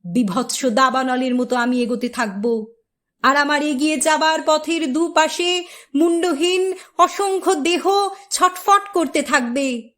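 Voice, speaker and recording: A woman reciting poetry in a theatrical, emphatic manner, with deliberate pauses between phrases. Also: sits high, fairly bright tone. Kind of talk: dramatic Bengali poetry recitation.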